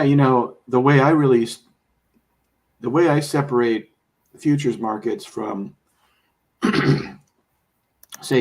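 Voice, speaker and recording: A man talking casually about trading, rambling and explaining with many fillers and restarts, a bit hoarse as he is losing his voice. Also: on the low side, darkish tone. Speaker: trader. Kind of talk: casual conversation.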